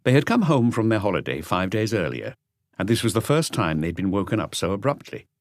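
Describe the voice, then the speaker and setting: A man narrates a literary crime audiobook. His voice is low, with lively, varied intonation, and the sound is dry and close. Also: fairly quick, no room sound.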